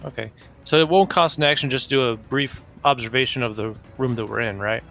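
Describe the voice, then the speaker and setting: Male player, creaky mid-pitched voice, casual game-table talk, faint music in the background. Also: a little fast, a bit bright.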